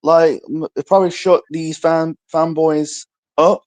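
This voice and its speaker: A man's voice: a little high, little pitch movement, a little slow. A man calling in to a live show, laid-back and rambling, with lots of fillers. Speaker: caller. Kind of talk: casual chat.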